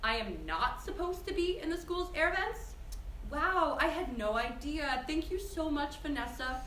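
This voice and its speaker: A woman acts an exasperated teenage girl with a sarcastic, informal delivery for a screenplay read. Her voice sits fairly high. It comes from a little way off in a roomy space with faint noise. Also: expressive, unhurried, a bit bright. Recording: roomy, distant mic with background hiss.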